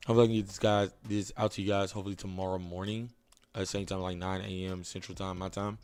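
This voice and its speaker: Hoarse young man chatting casually. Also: low voice, flat delivery, a little mellow, no room sound. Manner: chatty, rambling, pauses to think aloud. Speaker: reaction video host. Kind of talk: casual reaction commentary.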